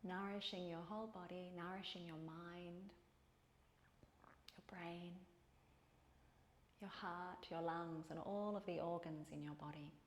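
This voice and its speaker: Echoey, calm woman guiding meditation. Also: on the low side, a little hiss or hum. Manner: calm, soothing, unhurried with pauses between phrases. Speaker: yoga teacher. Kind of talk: guided meditation and gentle yoga instruction. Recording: echoey room.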